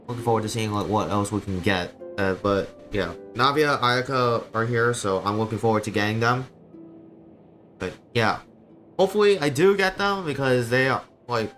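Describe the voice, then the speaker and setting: A man livestreaming a video game with casual, halting, rambling commentary. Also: medium pitch, a bit bright. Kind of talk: casual gameplay stream commentary.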